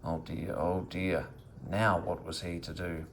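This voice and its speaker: A man reading a children's story aloud as an expressive narrator. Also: low-pitched, unhurried, vocal fry. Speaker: storybook reader.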